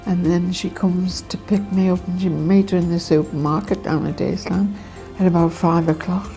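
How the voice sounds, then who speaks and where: Creaky, low-toned older woman. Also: steady tone, moderate pace, dark tone. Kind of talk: casual personal account. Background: faint music.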